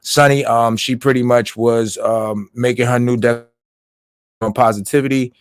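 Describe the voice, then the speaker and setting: A man's voice: mid-range voice, fairly even intonation, moderate pace, clean tone, no room sound. A man giving a casual, rambling recap on a livestream, full of fillers, restarts and swearing. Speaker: livestream commentator.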